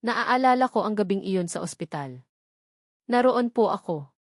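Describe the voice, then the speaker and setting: A woman's voice: medium pitch, animated, normal speed, dry recording, clean studio sound, close to an everyday voice. A woman voicing a troubled, respectful old male servant making a confession in an audio drama. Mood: remorseful, heavy. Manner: trembling, respectful.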